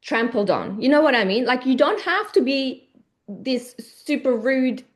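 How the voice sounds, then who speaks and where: A woman's voice: high voice, animated, a little slow. A woman from Switzerland talking casually as the host of a conversation.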